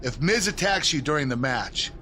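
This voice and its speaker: A man's voice: a little high, expressive, normal speed, noisy background, close to an everyday voice. A man voicing an eager, self-pleased wrestling general manager in a video game cutscene. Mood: pleased, encouraging.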